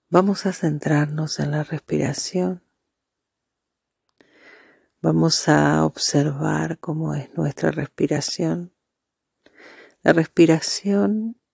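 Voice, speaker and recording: A meditation guide speaking softly and breathily in a calming, deliberate way with marked pauses. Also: mid-range voice, creaky, husky, dry recording, clean studio sound.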